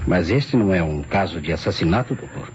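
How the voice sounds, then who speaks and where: An actor playing a defensive lawyer who explains himself formally, with a Brazilian accent, in old radio drama style. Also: male voice, deep voice, animated, a little fast, a little mellow. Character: defensive male lawyer, the wife's former fiancé. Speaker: actor in a radio mystery serial. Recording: muffled, noisy old radio recording.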